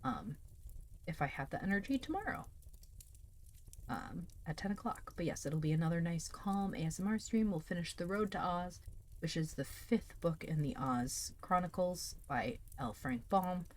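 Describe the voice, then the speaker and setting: A woman hosting a livestream, chatting casually and calmly with her viewers as if greeting a class. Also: fairly low pitch, moderate pace. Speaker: livestream host reading stories aloud.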